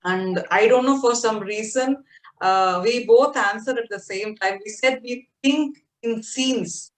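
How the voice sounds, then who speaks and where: A woman's voice: a little high, measured pace. A woman interviewing an author, recalling stories in a reflective, conversational way. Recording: roomy sound from a distance.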